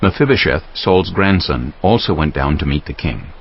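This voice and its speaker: A man's voice: deep voice, animated, moderate pace, breathy edge, lo-fi sound. A man reading scripted Bible narration as a narrator.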